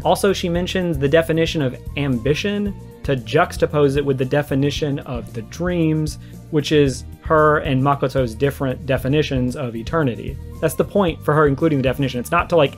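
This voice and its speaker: A man giving argumentative video commentary, explaining his points emphatically and repeating them to be clear. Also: fairly high pitch. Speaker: video creator giving a response. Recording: music behind the voice. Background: music.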